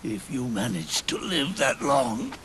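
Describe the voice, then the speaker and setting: An actor playing a weary, regretful old soldier near death in a video game cutscene, with a gravelly, breathy, halting and pained delivery. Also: male voice, medium pitch, sing-song, very noisy. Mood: labored, explaining. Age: older. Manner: halting, solemn, labored delivery. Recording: orchestral music behind the voice.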